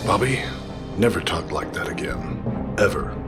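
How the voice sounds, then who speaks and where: An actor playing a dry, terse teenage brawler superhero in an audio drama. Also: male voice, sits low, animated, measured pace, loud music behind the voice.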